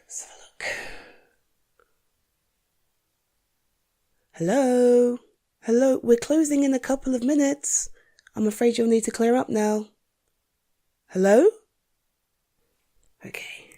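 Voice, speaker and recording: A woman playing a flustered, jumpy female librarian in an intimate audio roleplay, breathy and hesitant as she mutters to herself. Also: fairly high pitch, a little slow, long pauses, mellow, pitched above the performer's own voice. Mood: jumpy, uneasy. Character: flustered, jumpy young female librarian alone at closing time.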